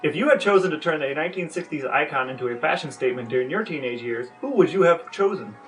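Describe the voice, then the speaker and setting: A man's voice: a little high, lively intonation, fast, fairly bright tone, faint music behind. A man hosting a podcast, delivering semi-scripted narration and questions in a wry, tongue-in-cheek way. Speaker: podcast host.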